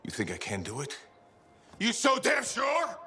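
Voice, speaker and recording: An actor playing a proud, stubborn, gruff veteran freelancer pilot in a video game, blunt and rough-spoken. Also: male voice, high-pitched, wildly swooping pitch, a little slow, sharp tone, heavily acted. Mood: defiant, angry. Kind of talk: dramatic video game cutscene acting.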